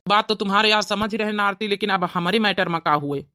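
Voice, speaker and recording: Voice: medium pitch, quick pace, bright, forceful tone, no room sound, studio quality, close to an everyday voice. A performer playing an earnest, devoted young village man with an Awadhi accent in a family cartoon. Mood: pleading, earnest. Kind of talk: family drama cartoon dialogue.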